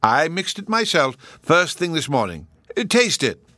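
A man's voice: fairly high pitch, very animated, measured pace, sharp tone, no room sound, voice pushed up, heavily acted. A man doing a jolly old professor. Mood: startled. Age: older. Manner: cheery, chuckling, fussy. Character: jolly, absent-minded old male professor. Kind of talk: audiobook character voice.

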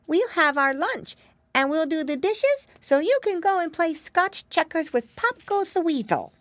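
A woman voicing an excitable, polite little boy piglet in a storybook, eager and exclamatory. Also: very high voice, unhurried, no room sound, voice pushed up, full character voice.

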